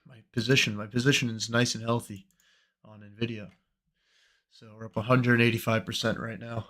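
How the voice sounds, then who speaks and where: Hoarse man rambling on a livestream. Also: medium pitch, normal speed, pauses often. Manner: relaxed, rambling, many fillers. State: slightly hoarse from a cold. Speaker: trading livestream host. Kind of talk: casual livestream chat.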